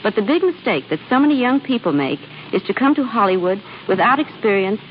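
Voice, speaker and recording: A woman's voice: medium pitch, big pitch swings, a little fast. A woman giving a scripted, formal and instructive talk as a guest on 1930s radio. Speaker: guest casting director. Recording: dull, noisy 1930s radio broadcast.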